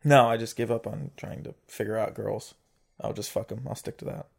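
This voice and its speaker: A man's voice: on the low side, steady tone, brisk, gravelly. A young man co-hosting a casual podcast, telling jokey stories and acting out quotes. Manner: jokey, acts out quotes, crude humor. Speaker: podcast co-host. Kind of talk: casual chat. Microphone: podcast mic with audio levels.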